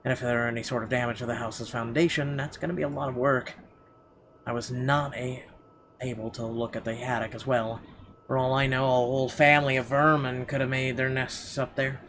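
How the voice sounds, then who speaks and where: Casting: male game streamer, mid-pitched, halting read-aloud narration with casual asides, clearly noisy recording. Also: little pitch movement, fairly bright tone. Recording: clearly audible background noise.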